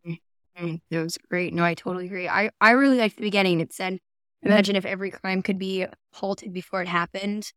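A woman's voice: medium pitch, fairly even intonation, fairly quick, a bit bright, clean tone, no echo. A woman co-hosting a podcast, chatting casually and agreeably as she thinks aloud through a review. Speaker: podcast co-host.

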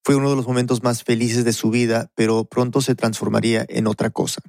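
A man hosting a radio documentary show, reading scripted links in a deliberate manner. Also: mid-range voice, dry recording, studio quality. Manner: flat, gravelly, deliberate delivery. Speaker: host.

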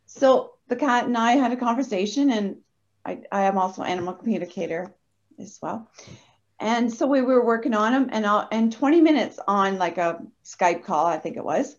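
A woman talking as a podcast guest, telling stories in a chatty, animated way. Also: medium pitch, normal speed. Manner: chatty, animated anecdote teller. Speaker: guest, energy healer. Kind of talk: casual podcast interview storytelling. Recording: dull lo-fi audio.

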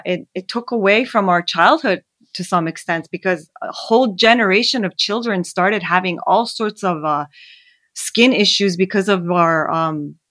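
A woman's voice: lowish voice, fairly bright tone, no echo. A woman born in Iran and raised in Canada, a guest telling her story in an earnest, hesitant way with many fillers. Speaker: guest, skincare founder. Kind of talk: casual interview conversation.

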